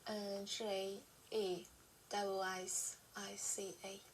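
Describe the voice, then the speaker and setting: Woman exam candidate: drawn-out, halting answers with lots of pauses and "um". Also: mid-range voice, flat delivery, slight background noise, poor audio. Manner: hesitant, halting answers full of um and uh.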